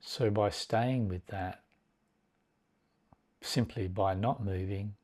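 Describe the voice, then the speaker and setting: A man leading a guided meditation as a teacher, speaking in a measured, deliberate way with long pauses between short phrases. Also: lowish voice, fairly even intonation, a little mellow.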